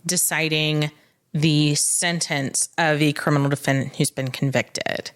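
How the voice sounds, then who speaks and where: Lower-voiced American woman explaining things step by step. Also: fairly even intonation, a little slow. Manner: matter-of-fact, explaining step by step with examples. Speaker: podcast co-host, legal expert. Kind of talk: casual legal explainer chat.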